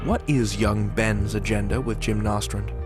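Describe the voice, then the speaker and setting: A man's voice: fairly low pitch, fairly even intonation, moderate pace, over music. A man hosting a drama podcast, reading scripted teasers and outro and addressing listeners directly with teasing questions. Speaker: host.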